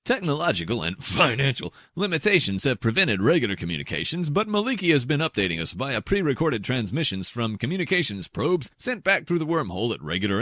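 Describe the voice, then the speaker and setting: A man's voice: mid-range voice, a bit bright, no echo, studio quality. A man playing a news anchor introducing field reports in a scripted sci-fi broadcast.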